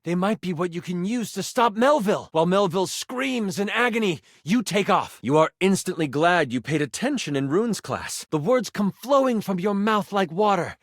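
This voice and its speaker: A man playing an eager game-master character, narrating a tabletop fantasy adventure with dramatic, expressive delivery. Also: sits high, moderate pace, hardly any pauses, no echo, clean studio sound. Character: animated game-master character, eager storyteller, a living die.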